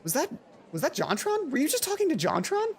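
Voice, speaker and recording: A let's play reader voicing an excitable, nosy teenage schoolgirl with a gushing, insistent delivery. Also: high voice, fairly quick, pitched above the performer's own voice, full character voice. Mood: amazed, gushing.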